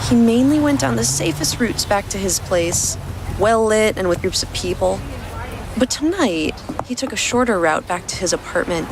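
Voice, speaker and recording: A woman's voice: medium pitch, background noise. A woman reading scripted fiction as the narrator of an audio story. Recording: music behind the voice. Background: background music.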